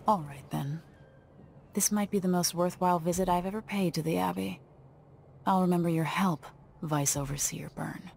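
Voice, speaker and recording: An actress playing a deposed young empress in a video game, resolute and guarded, speaking in a measured, cool way. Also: female voice, lowish voice, creaky, darkish tone, slight background noise. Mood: cool, firm.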